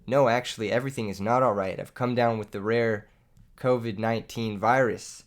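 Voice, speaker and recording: A young man narrates his card game match while recovering from an illness that has taken his voice. He talks casually, making fun of himself and laughing between plays. His pitch sits in a middling range. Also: fairly even intonation, moderate pace. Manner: joking, self-mocking, laughs often. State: lost voice from illness. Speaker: gaming YouTuber. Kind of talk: live gameplay commentary.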